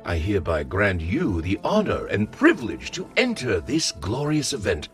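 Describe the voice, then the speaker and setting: A man playing a pompous, theatrical lord in a medieval fantasy game, with grandiose, drawn-out, florid delivery. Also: fairly low pitch, wildly swooping pitch, music in the background. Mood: courtly, formal. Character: pompous, theatrical powerful lord and tournament host.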